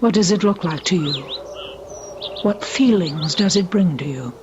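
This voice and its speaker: An actress playing a cryptic, ominous manor housekeeper in an old radio drama, speaking gravely and formally. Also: female voice, on the low side, normal speed, close to an everyday voice. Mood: foreboding. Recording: dull, faintly noisy old radio recording. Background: birdsong.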